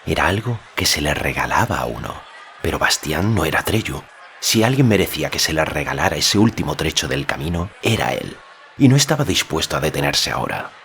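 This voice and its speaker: A man's voice: rumbling low, big pitch swings, a little fast, fairly bright tone, a little hiss or hum. A man reading literary fiction as an audiobook narrator, in a scripted storytelling style.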